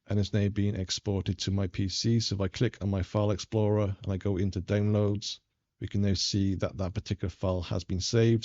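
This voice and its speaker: Low, mellow male tutorial narrator, muffled recording. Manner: plain, methodical instruction. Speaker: software tutorial presenter. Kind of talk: step-by-step screen tutorial narration. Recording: dull, lo-fi voice-over recording.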